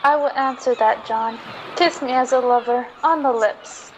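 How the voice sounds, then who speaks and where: A woman's voice: high voice, moderate pace, a little hiss or hum, close to an everyday voice, poor audio. A woman playing a devoted, lovestruck young woman, earnest and melodramatic in an old-time radio drama. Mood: tender, earnest.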